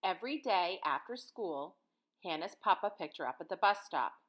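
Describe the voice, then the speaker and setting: A woman's voice: fairly low pitch, animated, normal speed. An American woman in her thirties, a teacher reading and talking to young children in simple, guiding phrases. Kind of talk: picture-book read-aloud and lesson for children.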